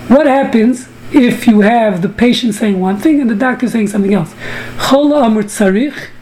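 A woman's voice: a little high, normal speed, mellow, slight background noise, poor audio. A woman from the US teaching a Talmud class over a video call, joking and bantering with participants. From United States. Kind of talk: online study class.

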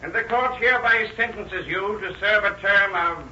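An authoritative judge in old radio drama, solemn and formal. The high voice carries from a distance. The recording is muffled. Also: male voice, normal speed, pushed and bright, reverberant, a little hiss or hum. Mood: solemn, official. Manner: formal, pronouncing. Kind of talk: 1930s radio drama acting.

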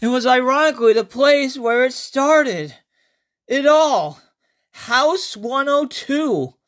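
High-pitched, animated man narrating horror. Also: slow. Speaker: author reading own short story. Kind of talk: dramatic first-person horror story narration.

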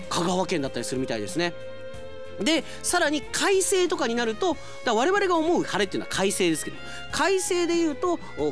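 A man hosting a radio show as an announcer, talking in a lively way with conversational asides. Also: fairly high pitch.

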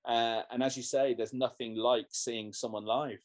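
A man at an ordinary middle pitch talks through his thoughts as a musician being interviewed. He rambles, restarting sentences and leaning on fillers as he goes. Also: steady tone, moderate pace. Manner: rambling, many restarts and "you know"s. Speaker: guest musician. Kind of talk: casual interview chat.

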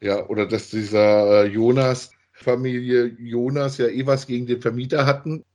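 A man's voice: mid-range voice, fairly even intonation, normal speed, a little mellow. A man co-hosting a podcast in casual discussion, giving his opinions in an emphatic, colloquial way and often seeking agreement. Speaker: podcast co-host reviewing audio dramas.

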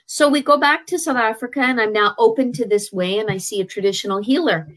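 A woman's voice: on the high side, quick pace, a bit bright. A middle-aged woman with a Canadian accent, a guest telling her life story frankly in a conversational way, with hesitant restarts. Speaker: interview guest, traditional healer. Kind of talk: candid personal storytelling.

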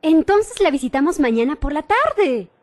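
A woman's voice: sits high, big pitch swings, moderate pace, darkish tone. A woman playing a whiny, bossy young schoolgirl in a radio drama.

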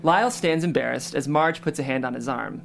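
A male narrator reads out stage directions at a table read. The delivery is scripted storytelling at an average pitch. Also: brisk, vocal fry, a little hiss or hum.

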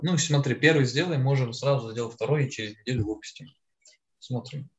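A man's voice: medium pitch, a little fast, dull audio. An eighteen-year-old man, co-hosting a podcast in a casual, conversational style. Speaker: podcast co-host.

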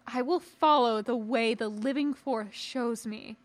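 A principled warrior-monk and teacher, voiced by a woman speaks with firm, formal resolve in a space-fantasy audiobook. The delivery is unhurried and close, with a dry, roomless sound. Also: a little high, sharp tone, no room sound. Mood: resolute. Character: principled, resolute male Jedi knight and patient teacher.

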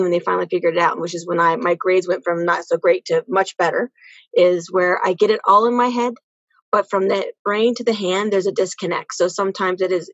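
A woman's voice: mid-range voice, a bit bright, no echo, highs cut off. A woman giving casual, earnest answers as a podcast guest, often repeating phrases for emphasis. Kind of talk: casual interview conversation.

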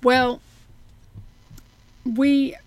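A woman's voice: high-pitched, little pitch movement, very slow, no echo. An American woman co-hosting a casual remote chat, chatty with frequent laughs and restarts. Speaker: podcast co-host.

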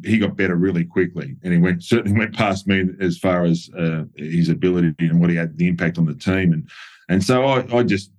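A middle-aged Australian man from New South Wales, a former footballer, reminiscing in a relaxed interview with frequent ums and restarts. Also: deep voice, brisk. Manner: many fillers and restarts, chuckles at stories.

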